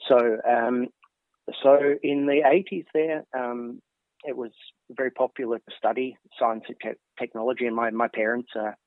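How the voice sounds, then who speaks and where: A man's voice: mid-range voice, flat delivery, normal speed, dry recording. Australian man on a thin, tinny line. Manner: thoughtful, many ums and restarts. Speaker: interview guest, professor. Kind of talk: conversational interview answers. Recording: dry thin phone-like line.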